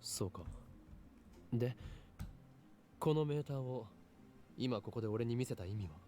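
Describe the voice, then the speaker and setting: A self-important young male mad scientist, played by a voice actor in anime game style. He speaks quickly in a medium-pitched voice. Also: animated, mellow, natural voice. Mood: questioning. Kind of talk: Japanese visual novel voice acting.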